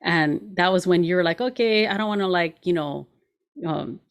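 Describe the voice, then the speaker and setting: A woman's voice: fairly low pitch, moderate pace. A woman in her forties hosting a show, chatting casually in an informal, giggly way. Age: 40s. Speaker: show host. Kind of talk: casual conversation.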